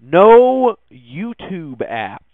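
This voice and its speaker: A man hosting a podcast with casual tech news commentary, speaking haltingly and often restarting his words. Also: sits high, sing-song, no echo. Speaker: podcast host. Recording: thin phone-like lo-fi audio.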